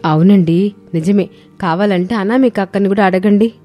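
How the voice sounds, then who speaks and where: A woman's voice: medium pitch, moderate pace, lo-fi sound, close to an everyday voice. A woman voicing a silly, earnest young daughter-in-law in a cartoon family story. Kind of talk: cartoon moral-story dialogue. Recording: music under the voice.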